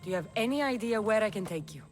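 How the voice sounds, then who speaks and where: Game heroine voiced by a woman: blunt, firm sellsword, lively mid-pitched delivery over faint music. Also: quick pace, lightly acted. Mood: resolute. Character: bold, blunt female mercenary hero. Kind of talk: video game cutscene acting.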